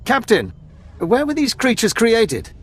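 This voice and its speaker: A man playing an affable, decisive army major in a video game, with an animated, musing manner. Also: very high-pitched, measured pace, heavily acted. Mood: inquisitive. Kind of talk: video game cutscene acting.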